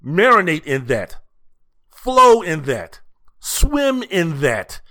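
A man's voice: on the high side, big pitch swings, slow pace, sharp tone. A man hosting a sports podcast solo, giving opinionated commentary in an emphatic, theatrical way, with rhetorical questions and repeated phrases.

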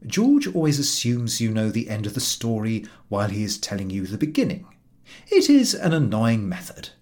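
A man voicing a dry, gently mocking English gentleman in an audiobook, explaining in a measured deadpan. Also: on the low side, voice pushed down. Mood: mild irritation. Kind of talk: audiobook character dialogue.

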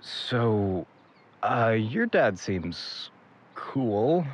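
A man's voice: lowish voice, big pitch swings, very slow, heavily acted. A young man acting a dry, unimpressed, hesitant character in an audio drama.

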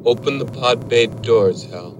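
A man's voice: mid-range voice, a little slow, gravelly, dull audio. A desperate male astronaut on the radio. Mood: urgent. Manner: urgent, repeated radio calls. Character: astronaut pleading with a rogue ship computer. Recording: film clip with loud music.

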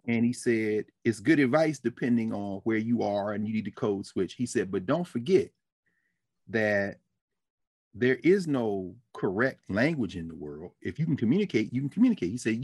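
A middle-aged man co-hosting a casual talk show, an animated storyteller who laughs easily and drops into low asides. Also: dry recording, clean studio sound. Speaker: podcast co-host. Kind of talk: casual conversational discussion.

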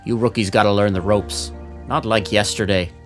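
A man's voice: fairly low pitch, steady tone, moderate pace, soft background music, lightly acted. A man playing a brusque, wisecracking veteran police sergeant in a noir audio drama, slangy and no-nonsense. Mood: bossy, ribbing.

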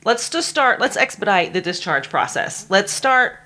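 A woman's voice: medium pitch, lively intonation, normal speed, sharp tone. A woman co-hosting a podcast, telling history in an informal, conversational way and explaining it step by step. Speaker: podcast co-host narrating history. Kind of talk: conversational true history storytelling.

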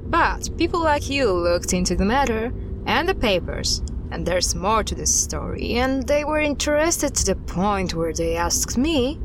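A woman playing a guarded investigator in an audio drama, recounting an unsettling case in a halting, deliberate way. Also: a little high, sing-song, noisy background. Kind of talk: audio drama dialogue, storytelling.

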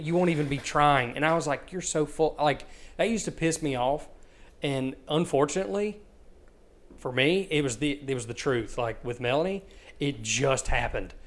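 A man's voice: on the high side, moderate pace. Frank, foul-mouthed man in his twenties. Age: 20s. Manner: frank, swears freely, animated. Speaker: podcast co-host. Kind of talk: casual chat.

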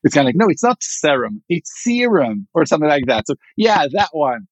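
A man's voice: a little high, very animated, fairly quick, no room sound. Israeli founder, male, casual rambling interview answers, muffled dry mic. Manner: rambling, many fillers and restarts. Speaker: startup founder.

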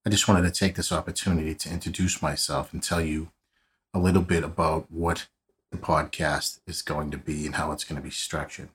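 Deep-voiced Boston man hosting a podcast. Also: little pitch movement, fairly quick, a bit bright. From South Boston, USA. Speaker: podcast host, private investigator. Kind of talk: scripted podcast narration.

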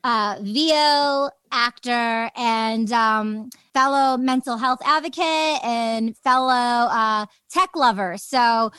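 A woman's voice: a little high, takes it slowly, few pauses, sharp tone, smooth, no echo. A woman podcast host with an enthusiastic, informal manner, chatting in an upbeat interview style.

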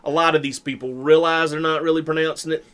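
A man's voice: a little high, steady tone, fast, fairly bright tone, clean tone. A man with a Southern US accent, co-hosting a podcast in a joking, chatty way. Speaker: podcast co-host. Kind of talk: casual chat.

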